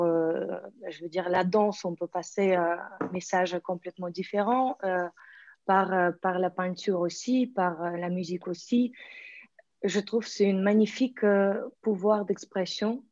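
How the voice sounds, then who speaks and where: A woman from Kazakhstan, a guest artist, answering press questions on a video call in a polite, measured way. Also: on the low side, dark tone, no echo, muffled recording. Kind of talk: online press conference answers. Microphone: video call mic.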